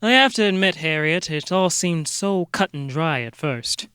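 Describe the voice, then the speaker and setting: A man acts a self-important amateur detective in a comedy mystery drama. His fairly high voice moves around expressively as he earnestly lays things out, recorded dry. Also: expressive, normal speed, pushed and bright, clean tone, dry recording. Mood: solemn, accusing. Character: self-serious part-time vegan amateur detective.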